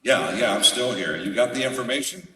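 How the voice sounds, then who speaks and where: An actor playing a cynical, wisecracking private detective in a noir radio drama, with a wry, tough-talking delivery. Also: male voice, medium pitch, animated, brisk, bright, forceful tone. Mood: businesslike. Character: wisecracking hardboiled male private detective, cynical and self-aware. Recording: roomy hall, distant mic, music behind.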